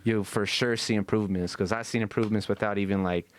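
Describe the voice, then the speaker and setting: A man's voice: on the low side, steady tone, fast, slight background noise. A man talking casually as a podcast co-host, with slangy, profane speech and frequent "you know what I mean" tags.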